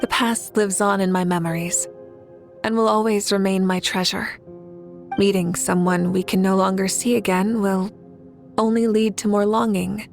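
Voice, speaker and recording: Game lead: young female warrior ruler, acted by a woman, composed and resolute, medium pitch, soft music behind. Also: natural voice. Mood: calm, resolute. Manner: calm, deliberate. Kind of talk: video game character acting.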